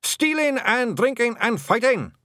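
Tiny scottish fairy warriors, high and loud, chanting, rowdy storybook battle cry. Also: male voice, very animated, measured pace, dry recording, studio quality, heavily acted. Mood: boastful, rousing.

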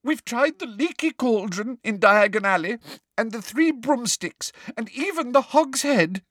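A shrill teenage girl who stammers and sobs through every line, as a man puts her on. The voice sits very high and leaps about, and the sound is dry and close. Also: expressive, a little slow, fairly bright tone, no echo, full character voice. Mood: tearful.

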